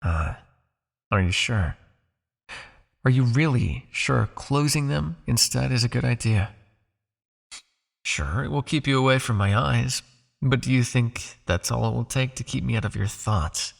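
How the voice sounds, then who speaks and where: A man playing a possessive, teasing vampire captor in an intimate ASMR hypnosis roleplay, speaking in a soft, coaxing, commanding way. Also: medium pitch, unhurried, gravelly, pauses often. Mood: hypnotic, coaxing.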